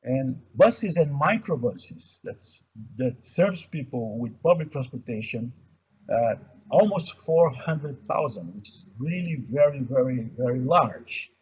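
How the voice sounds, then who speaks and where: A man with a Brazilian accent, a native Portuguese speaker, giving an explanatory webinar presentation and haltingly repeating words as he searches for phrases. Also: mid-range voice, lively intonation, husky. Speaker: webinar presenter, mobility observatory coordinator. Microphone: online webinar connection. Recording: dull, muffled webinar audio.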